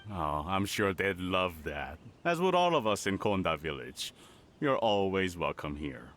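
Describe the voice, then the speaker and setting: An actor playing a friendly, thoughtful villager in a video game, calm and reassuring. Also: male voice, low-pitched, unhurried, full character voice. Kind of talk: game voice acting.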